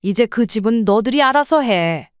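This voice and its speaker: A woman plays a steady mother in her seventies who has made up her mind, her voice smooth and clear and pitched a touch high. It is a dry, close audio drama recording with no room sound. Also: normal speed, no room sound, lightly acted. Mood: firm. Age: 70s. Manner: plain, steady and resolute.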